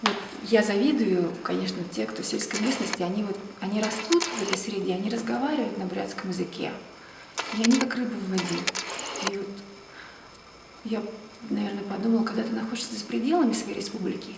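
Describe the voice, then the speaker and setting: Woman from russia musing in an interview, slow and soft, bit of vocal fry, mic kinda far off, noisy echoey room. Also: medium pitch. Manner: thoughtful, unhurried, often trails off softly. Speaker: ballet dancer, interviewee. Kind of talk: reflective interview answers. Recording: roomy, distant mic, noisy background.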